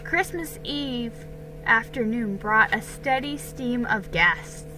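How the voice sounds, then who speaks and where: A woman's voice: on the high side, animated, takes it slowly, pushed and bright, soft background music, poor audio. A woman reading a children's storybook aloud as a dramatic narration. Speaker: story reader.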